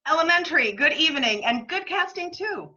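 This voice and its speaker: A woman's voice: high voice, animated, brisk, background noise. A woman playing a cheeky, sardonic male stage actor who needles colleagues in a comic play reading. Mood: dry, needling. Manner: sarcastic, needling. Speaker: amateur actor in a remote group reading. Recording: dull, lo-fi internet call recording.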